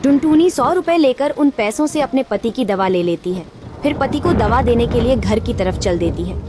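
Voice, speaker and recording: A woman narrating a children's cartoon story in a steady, even voice. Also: a little high, moderate pace, background noise. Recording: narration over loud background music.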